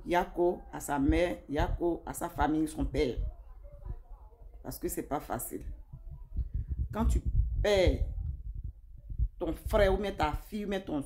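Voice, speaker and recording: A woman with a fairly low voice talks straight to her online viewers. She is emphatic and repeats key phrases as she comments informally on events. The amateur recording has faint background noise. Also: measured pace, pauses often, dark tone. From Africa. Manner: emphatic, repetitive, addresses viewers directly. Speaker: social media commentator.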